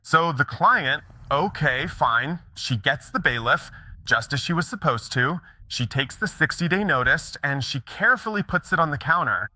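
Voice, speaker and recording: A man's voice: a little high, animated, moderate pace, bright, forceful tone. A middle-aged man telling stories in a conversational conference talk, often checking in with the audience. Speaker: mortgage broker giving a conference talk.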